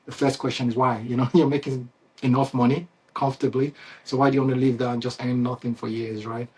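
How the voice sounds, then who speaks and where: A man's voice: medium pitch, flat delivery, quick pace, a little mellow, husky, poor audio. A man with a Nigerian accent, a company founder talking casually and unscripted to his customers, with frequent fillers and restarts. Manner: conversational, many fillers and restarts, chuckles often. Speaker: CEO and founder hosting a company Q&A.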